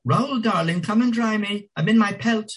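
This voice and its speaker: A man's voice: high-pitched, moderate pace, recorded from a distance, voice pushed up, lightly acted. A man voicing a sensual, lazy wife calling from her bath in a teasing, languid way. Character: shrill sensual woman, lazy bathing wife.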